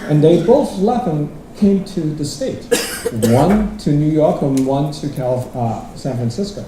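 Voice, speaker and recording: A man's voice: fairly high pitch, measured pace, recorded from a distance, crowd noise. Man from Hong Kong, echoey hall. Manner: rambling, self-deprecating, restarts often. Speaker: guest speaker. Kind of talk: conference talk. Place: large lecture hall.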